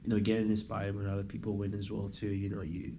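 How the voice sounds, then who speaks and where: A man's voice: fairly low pitch, completely flat delivery, fast talker. A young man as an interview guest, talking in a laid-back, rambling way, full of "like" and "you know". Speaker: guest, program member. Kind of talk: casual interview testimonial. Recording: clear background noise.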